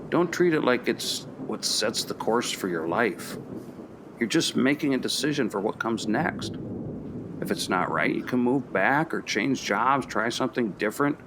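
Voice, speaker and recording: A man playing a sympathetic, level-headed professor in a naturalistic radio play, speaking in a measured, reassuring way. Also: mid-range voice, animated, noisy background, natural voice. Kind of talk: naturalistic radio drama dialogue.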